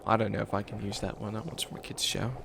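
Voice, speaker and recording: A man playing a grandiose but bumbling evil witch in a comedic ASMR roleplay, breathy and often near a whisper. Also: fairly low pitch, flat delivery, fast talker, noisy background. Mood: fussy, sheepish. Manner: theatrical villain declarations breaking into rambling, self-doubting asides.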